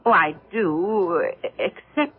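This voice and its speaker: An actress playing a reserved, uneasy middle-aged housewife in a 1970s radio drama, polite but guarded and hesitant. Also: female voice, lowish voice, expressive, slow pace, husky, full character voice. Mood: hospitable, hesitant. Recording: muffled, narrow-band vintage radio recording.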